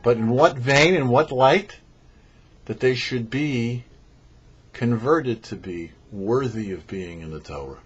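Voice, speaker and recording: Expressive husky man teaching scripture. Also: mid-range voice, takes it slowly, lo-fi sound. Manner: didactic, poses questions then explains. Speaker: rabbi, show host. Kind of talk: religious teaching, prepared commentary.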